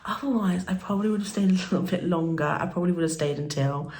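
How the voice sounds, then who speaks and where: Chatty woman with a croaky morning voice. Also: lowish voice, fast. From United Kingdom. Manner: chatty, rambling, with soft laughs and frequent fillers. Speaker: beauty vlogger. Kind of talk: casual get-ready-with-me chat. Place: indoors at home, filming while doing makeup.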